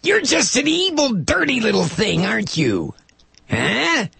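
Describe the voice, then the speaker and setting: Man plays sanctimonious male politician for talk radio: leering, mocking, husky, pitch leaping up in drawn-out taunts. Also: sing-song, sharp tone, dry recording, heavily acted. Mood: leering scorn.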